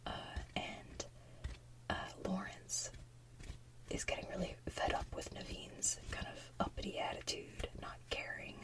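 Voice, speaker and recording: A woman hosting an ASMR video, speaking in a soft, breathy, unhurried whisper. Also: recorded from a distance, rough recording. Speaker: ASMR channel host.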